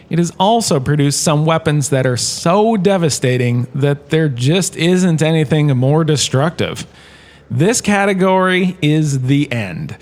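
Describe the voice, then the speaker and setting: Man playing a vain, theatrical male lecturer, highish voice. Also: darkish tone. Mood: grandly dramatic. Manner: theatrical, self-important, rambling. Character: vain, bumbling washed-up holodrama star turned history lecturer. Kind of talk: comedic in-universe audio drama lecture.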